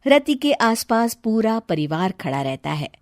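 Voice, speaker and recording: A woman narrating a scripted story in an expressive storyteller's manner. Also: a little high, unhurried, no echo, clean studio sound.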